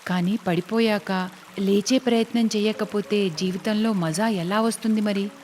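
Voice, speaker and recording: A woman's voice: medium pitch, steady tone, a little slow, a little mellow, slight background noise, close to an everyday voice. A woman voicing a plucky young village vegetable seller in a cartoon story, speaking earnestly and respectfully. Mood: earnest, encouraging. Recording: long reverb, music behind.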